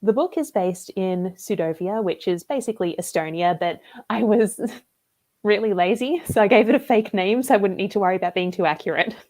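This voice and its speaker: A woman with an Australian accent, a debut novelist talking about her book in a relaxed, chatty live interview over a video call. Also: medium pitch, brisk. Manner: chatty and relaxed, with frequent "like" and "um" fillers.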